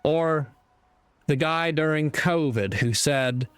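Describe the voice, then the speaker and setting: A man giving a preachy opinion monologue in deliberate, halting phrases with rhetorical asides. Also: on the high side, smooth, faint music behind. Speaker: commentator delivering a moral monologue.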